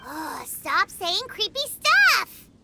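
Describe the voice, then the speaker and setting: A woman voicing a small, excitable, childlike fairy companion in a video game, squeaky and blunt. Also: drawn-out words, creaky, very noisy, exaggerated voice. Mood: indignant. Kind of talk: video game character acting.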